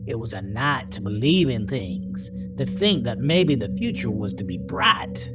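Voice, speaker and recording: Slow, dramatic male horror narrator. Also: mid-range voice, very animated, loud music behind the voice. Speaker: narrator of an audio horror story.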